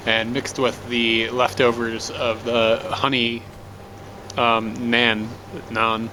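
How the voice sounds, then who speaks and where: A man's voice: mid-range voice, monotone, takes it slowly. Male travel vlogger, rambling step-by-step narration, noisy on-location camera audio. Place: public places while travelling.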